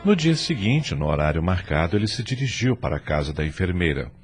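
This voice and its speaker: A man's voice: low-pitched, very animated, a little fast, soft background music. A man with a Brazilian accent giving scripted, dramatic narration for an audio novela.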